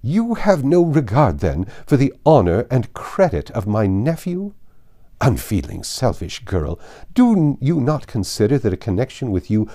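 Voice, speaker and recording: A man's voice: mid-range voice, very animated, a little slow, mellow. A man voicing a haughty, indignant older aristocratic lady in a formal, emphatic audiobook reading. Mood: incensed.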